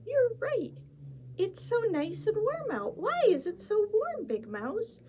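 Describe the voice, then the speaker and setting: A woman's voice: extremely high pitch, big pitch swings, slow pace, dark tone, slight background noise, pitched above the performer's own voice, heavily acted. A woman voicing a tiny cheerful young mouse who keeps asking eager questions.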